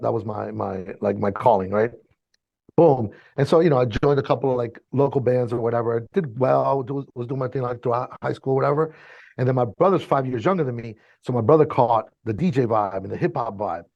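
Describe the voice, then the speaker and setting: A man in his fifties, born in Chile and raised in Miami, whose first language is Spanish, telling his story as a guest in a casual, slangy, animated way. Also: medium pitch, gravelly, dry recording, muffled recording. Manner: animated, slangy, swears freely. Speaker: mixing engineer guest.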